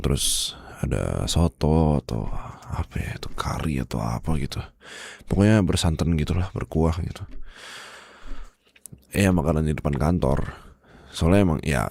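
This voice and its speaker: Guy acting as stern indonesian office boss with a fever, super deep voice, dry close sound, asmr roleplay. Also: creaky, a little mellow, no echo, exaggerated voice. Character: stern, demanding, proud male office boss, sick with fever.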